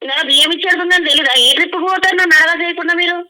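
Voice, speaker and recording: A woman's voice: extremely high pitch, fairly even intonation, rapid-fire, bright, forceful tone. A middle-aged woman arguing casually on the phone in a scolding, indignant manner. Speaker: mother being prank-called.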